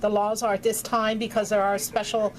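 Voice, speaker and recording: A woman's voice: medium pitch, flat delivery, a little fast. A woman conducting an informal interview, asking questions in an attentive, appreciative manner.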